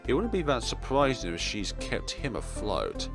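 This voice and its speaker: A young man reading a visual novel aloud as a let's player, in a theatrical, expressive way. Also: mid-range voice, normal speed. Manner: theatrical, expressive, with playful asides. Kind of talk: dramatic read-aloud narration of a visual novel. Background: game music.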